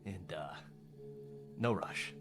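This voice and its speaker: A man voicing a wounded rebel fighter in a video game, weary, strained and halting, with a wry, loyal temper. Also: low-pitched, expressive, music in the background. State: injured, in pain. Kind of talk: video game character dialogue.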